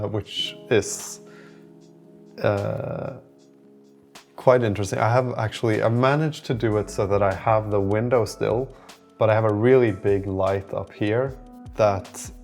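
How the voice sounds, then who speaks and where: Low-pitched, creaky-voiced man vlogging casually. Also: a little mellow. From lives in southern Sweden. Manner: rambling, informal, hesitant phrasing. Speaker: host of a startup-building video diary. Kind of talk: casual vlog chat. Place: indoor room beside a window.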